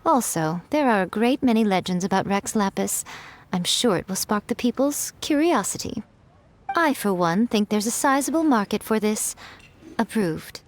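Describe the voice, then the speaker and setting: A woman's voice: medium pitch, expressive, normal speed, close to an everyday voice. An actress playing a sly, self-assured, teasing woman editor in a video game, poised and theatrical. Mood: smugly pleased. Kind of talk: video game character dialogue. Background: background music.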